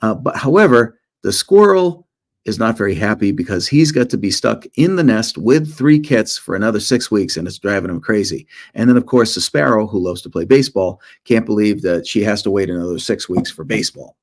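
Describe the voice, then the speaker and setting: A man speaking informally as a children's author and illustrator presenting to students, with animated storytelling and self-deprecating humor. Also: on the low side, brisk.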